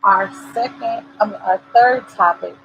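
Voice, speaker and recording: A woman with a cold-hoarsened voice hosting a motivational talk, speaking earnestly and encouragingly and repeating her key points. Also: medium pitch, steady tone, measured pace, highs cut off, soft background music. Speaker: host. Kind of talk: motivational talk show monologue. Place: at home.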